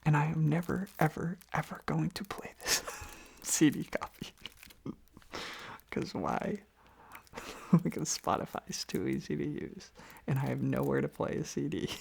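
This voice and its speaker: An ASMR host rambling softly and sleepily in a whisper, often trailing off into repeated phrases. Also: medium pitch, creaky, slight background noise.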